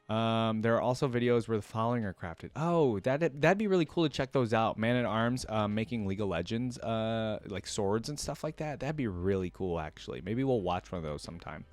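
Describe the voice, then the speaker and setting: Guy with a lowish voice doing casual reaction commentary, reads stuff out and muses on it, dry close sound. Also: no room sound. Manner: chatty, musing asides while reading. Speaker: reaction video host. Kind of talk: casual commentary, reading aloud from wiki trivia.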